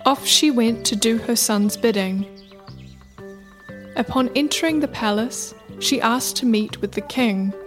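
A woman storyteller with a slightly high voice narrates a folktale. Her delivery is measured and literary, with music playing softly underneath.

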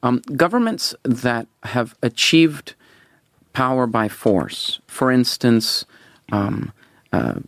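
Gravelly-voiced man repeating his words. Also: medium pitch, big pitch swings, takes it slowly, fairly bright tone, no room sound. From Venezuela. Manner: earnest, repeats words when starting sentences. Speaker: interview guest. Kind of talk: political interview.

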